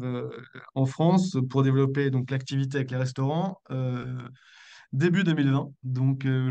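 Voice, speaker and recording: Gravelly, mellow man in his thirties. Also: mid-range voice. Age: 30s. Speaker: entrepreneur, podcast guest. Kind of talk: casual spoken account in an interview.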